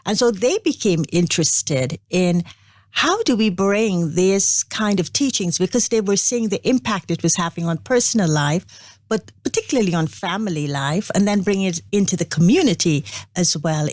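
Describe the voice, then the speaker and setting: An older woman from Guyana, a spiritual organization's representative, telling her story in a measured, unhurried interview. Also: lowish voice, animated, a little mellow, no room sound, studio quality. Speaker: interview guest, Brahma Kumaris representative. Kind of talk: reflective interview talk.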